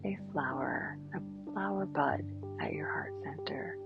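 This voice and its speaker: Slow, low-pitched woman guiding meditation. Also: in a whisper. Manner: soothing, gentle, unhurried with long pauses. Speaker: yoga teacher and meditation guide. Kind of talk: guided meditation. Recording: dull, lo-fi sound. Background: clear background music.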